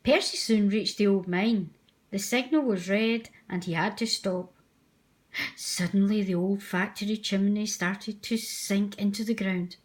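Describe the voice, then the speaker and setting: A woman's voice: mid-range voice, measured pace. A woman narrating a children's storybook aloud. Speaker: storybook reader.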